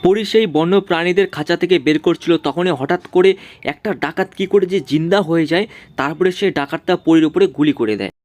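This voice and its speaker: Fast-talking male story narrator. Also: on the high side, a little mellow, dry recording.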